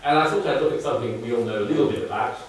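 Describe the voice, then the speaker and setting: A man's voice: medium pitch, very fast, distant mic, slight background noise. Older Mancunian man lecturing in an echoey hall. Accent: northern English, Mancunian. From Manchester, England. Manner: dry, wry asides while explaining charts. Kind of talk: public lecture.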